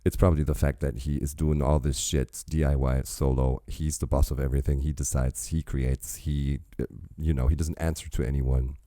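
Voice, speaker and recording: A man with an extremely deep, dark voice hosts a casual reaction show, rambling through chatty asides. His voice is recorded close and dry. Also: flat delivery, normal speed, few pauses, dry recording. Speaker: reaction channel host.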